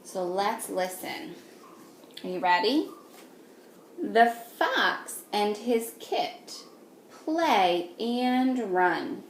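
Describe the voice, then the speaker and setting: Slow, sing-song woman reading to children. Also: medium pitch, sing-song. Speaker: teacher reading aloud to young children. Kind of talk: picture-book read-aloud with simple questions to children. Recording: echoey room with faint noise.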